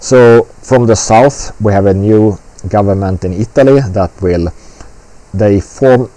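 A man's voice: fairly low pitch, warm tone. A man giving casual political commentary in a blunt, rambling way, swearing freely.

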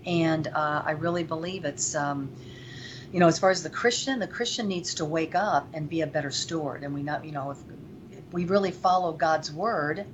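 A woman's voice: low voice, moderate pace. A woman from Venezuela speaking as an interview guest, earnest and rambling with many fillers. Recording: dull lo-fi with faint noise.

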